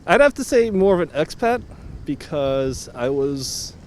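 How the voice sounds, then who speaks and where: American guy, easygoing answers, street noise faint, slightly high. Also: very animated, measured pace. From United States. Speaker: interviewee. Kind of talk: casual answers.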